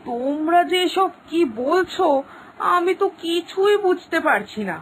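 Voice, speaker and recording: A woman's voice: very high voice, animated, measured pace, slight background noise. A woman voicing Boni's mother, a simple, fretful village mother who rambles with emotion, in a cartoon. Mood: bewildered. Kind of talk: cartoon voice acting.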